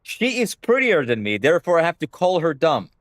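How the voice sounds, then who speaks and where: A man's voice: a little high, sing-song, brisk, no room sound, clean studio sound. A young man whose first language is Hebrew, talking bluntly to his stream chat and swearing freely. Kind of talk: casual livestream rant.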